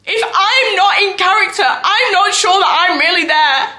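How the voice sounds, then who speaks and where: A woman's voice: sits high, takes it slowly, sharp tone, full character voice. A woman performing a theatrical monologue as a restless, self-doubting young actress, raw and defensive. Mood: agitated, raw. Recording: echoey room recording.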